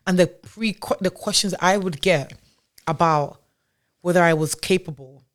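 A woman's voice: fairly low pitch, normal speed. A woman who grew up in Nigeria, a tech founder talking as a podcast guest in a chatty way, with many fillers and restarts. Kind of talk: casual interview storytelling.

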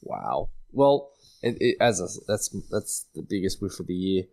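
Aussie bloke on a footy podcast panel, lowish voice, easy chat. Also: male voice, very animated, moderate pace, a little mellow. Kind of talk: casual sports fantasy podcast chat.

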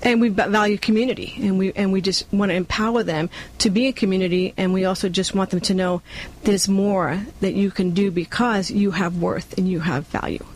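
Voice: mid-range voice, steady tone, fairly quick, low-quality recording. New York speaker, earnest, with background noise. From born in Cuba, grew up in Brooklyn, New York. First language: Spanish. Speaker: community nonprofit leader being interviewed. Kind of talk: conversational interview answers.

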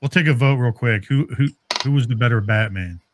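A man's voice: medium pitch, brisk, background noise. A man co-hosting a podcast, chatting casually and informally about fan topics, with some swearing. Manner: informal, swearing, filler-heavy. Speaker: podcast co-host. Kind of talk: casual fan chat about comics and movies.

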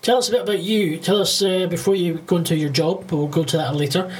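A man's voice: high voice, fast. A man with a Scottish accent hosting a casual interview podcast, rambling and circling back mid-sentence. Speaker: podcast host. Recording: clearly noisy, ambient background noise.

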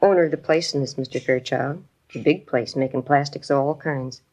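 A woman's voice: rumbling low, a little fast, a little mellow. An actress playing a trusting woman shop owner who has been swindled, rambling and bewildered in a 1950s radio crime drama. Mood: earnest, explaining. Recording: noisy old radio recording.

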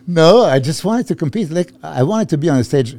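A man's voice: a little high, fairly quick, dry recording. A man in his fifties from Serbia, a bodybuilding coach and guest, chatting casually on a podcast and laughing often. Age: 50s. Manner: laughs often, relaxed storytelling.

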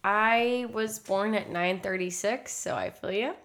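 A woman's voice: medium pitch, measured pace, fairly bright tone. A woman in her twenties with an American accent, co-hosting a podcast in casual chat with a chatty, jokey, exclamatory way of talking. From Texas, United States. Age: 20s. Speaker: podcast co-host.

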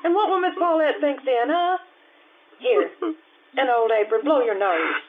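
An earthy, passionate cook played by an actress in an old-time radio romance. Her voice is high, heartfelt and intense, and comes through thin and phone-like. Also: big pitch swings, a little slow, heavy background noise. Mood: tender, sad. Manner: pleading, then tender and resigned. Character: Anna, earthy, passionate cook woman pleading with her lover.

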